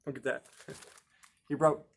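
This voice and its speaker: Man reading a picture book aloud, playfully expressive. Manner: playful, expressive, emphatic with drawn-out key words, asks listeners questions. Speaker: library storytime reader and host. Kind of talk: animated picture-book read-aloud for children, with chatty asides.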